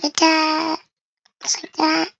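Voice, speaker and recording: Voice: high-pitched, completely flat delivery, slow pace, creaky, sharp tone, no echo. A young-sounding gamer speaking through a voice changer, chatting to viewers and thinking aloud in casual game commentary. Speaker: gaming video creator.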